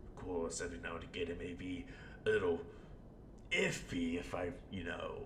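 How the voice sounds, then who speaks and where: Male amateur performer as scheming clown villain, low gravelly voice, sardonic rambling, erratic muttering and outbursts, roleplay monologue, roomy echo. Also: steady tone, normal speed, fairly bright tone. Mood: persuasive. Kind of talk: in-character audio roleplay monologue. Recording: roomy echo, faint rumbling background noise.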